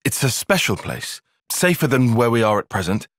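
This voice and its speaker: A man playing a young, analytical soldier tactician in a video game, speaking in precise, formal, measured phrases. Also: medium pitch, very animated, a bit bright, no room sound, clean studio sound. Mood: analytical, composed. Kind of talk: video game cutscene voice acting.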